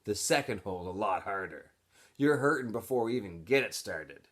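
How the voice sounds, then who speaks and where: A man's voice: fairly low pitch, expressive, normal speed, fairly bright tone. A man voicing a cocky, knowing teenage ringleader in a storybook, teasing and persuasive. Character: cocky, streetwise teenage boy, camp ringleader.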